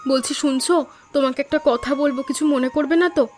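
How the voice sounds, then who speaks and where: A caring village wife from a cartoon, acted by a woman, with a very high voice. She sounds attentive and coaxing. Music plays underneath. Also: steady tone, fairly quick, lightly acted. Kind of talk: Bengali cartoon voice acting.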